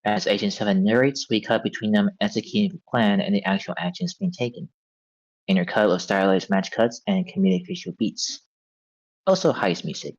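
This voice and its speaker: A man's voice: fairly low pitch, lively intonation, a little fast, no room sound, dull audio. A man narrating a script's stage directions at a table read.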